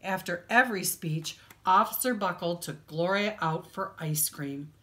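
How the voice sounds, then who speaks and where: A woman reading a picture book aloud to children as a librarian, with expressive storytelling and deliberate, dramatic emphasis on key words. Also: fairly low pitch.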